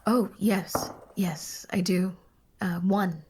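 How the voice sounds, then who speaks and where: A woman playing a calm, reassuring dream therapist in an audio drama, speaking in a measured, gently humorous way. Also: fairly low pitch, slightly rough, exaggerated voice. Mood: lightly amused. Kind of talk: naturalistic audio drama dialogue.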